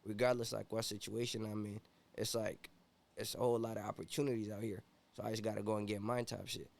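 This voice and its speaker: A man's voice: lowish voice, little pitch movement, quick pace, gravelly. A young man from Ethiopia, a rapper guest in a casual interview, talking laid-back and slangy with constant "you feel me" fillers.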